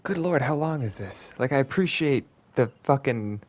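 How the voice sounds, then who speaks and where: A man with a mid-pitched voice streaming a game. He comments casually and off the cuff, swearing freely. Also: expressive, moderate pace, a little mellow. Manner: informal, profane.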